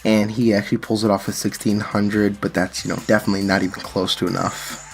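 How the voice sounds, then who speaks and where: A man's voice: lowish voice, little pitch movement, fast, vocal fry, soft background music. A man giving casual, unscripted commentary on card game play and decks, explaining things and correcting himself as he goes. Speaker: card game channel host.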